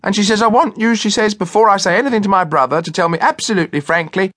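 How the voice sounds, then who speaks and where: Man putting on a gushing, high-pitched woman cook. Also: quick pace, a bit bright, no room sound, studio quality. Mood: gossipy. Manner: gushing, exclamatory, gossipy. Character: big uninhibited chatty woman cook, excitable.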